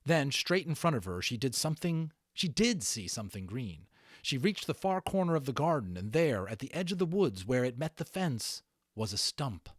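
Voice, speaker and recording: Male amateur narrator, mid-pitched and animated, for expressive children's book storytelling in a dry space. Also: normal speed, husky, no echo. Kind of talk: dramatic storybook reading with casual asides.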